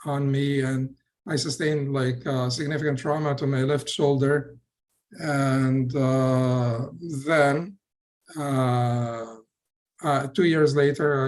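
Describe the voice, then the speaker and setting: A middle-aged man from Egypt with an Egyptian accent speaks over a video call at a mid-range pitch. He gives a personal talk with frequent fillers and restarts, measured and unhurried. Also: a little mellow. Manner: hesitant, many fillers and restarts. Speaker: physician guest speaker. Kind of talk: personal talk in an online session.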